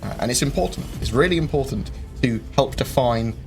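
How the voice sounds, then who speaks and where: A man hosting a live-stream Q&A, rambling through viewer questions with lots of ums and quick jokes. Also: mid-range voice, animated, creaky. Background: faint game music.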